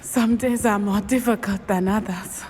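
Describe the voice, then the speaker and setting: A woman playing a solemn, determined teenage witch with a southern African accent, speaking in measured, formal video game dialogue. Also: mid-range voice, steady tone, darkish tone, a little hiss or hum, lightly acted. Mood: sorrowful.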